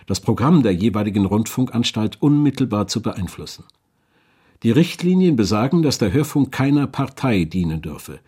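A man's voice: fairly low pitch, a little mellow, husky. A man reading scripted historical narration as a documentary narrator.

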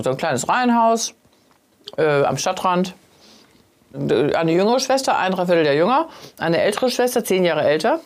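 Chatty sixties woman with lively pitch. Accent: Wuppertal Rhineland German. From Germany. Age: 60s. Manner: chatty, self-mocking, laughs often. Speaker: talk show guest. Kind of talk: casual chat. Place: cramped submarine interior.

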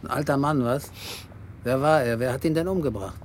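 An actor playing a weary, self-mocking office clerk who narrates a German radio play. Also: male voice, mid-range voice, brisk, slight background noise, natural voice. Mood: probing.

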